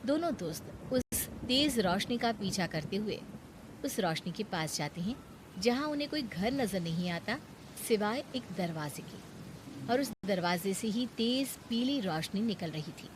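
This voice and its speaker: A woman's voice: mid-range voice, animated, unhurried, background noise. A woman narrator reading a scripted moral story for a cartoon.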